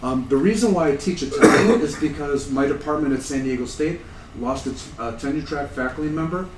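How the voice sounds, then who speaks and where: A man in his sixties from the United States speaks at a conference in a relaxed, self-deprecating way, often sounding amused. The mic sits some distance from him, so his voice comes across roomy and slightly remote, with faint background noise. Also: on the high side, a little fast. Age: 60s. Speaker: conference presenter. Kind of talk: academic conference talk, anecdotal. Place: lecture room at a conference. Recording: echoey room, distant mic.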